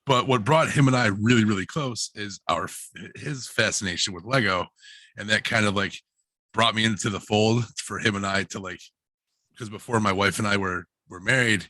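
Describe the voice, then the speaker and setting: Male guest, low husky voice with a forceful edge, casual and joking, close dry sound. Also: dry recording. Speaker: guest author and hairdresser. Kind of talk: casual chat.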